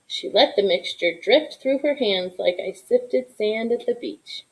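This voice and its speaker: A woman reading a children's story aloud in an expressive narration, with asides to young listeners. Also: mid-range voice, measured pace, rough recording. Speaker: storybook reader.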